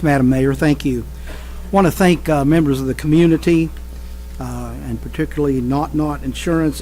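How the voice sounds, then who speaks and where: A man, a city councilman, making announcements at a meeting in an earnest, halting way with many pauses. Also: a little high, warm tone, a little hiss or hum, low-quality recording. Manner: hesitant, many uhs, earnest. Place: council chamber.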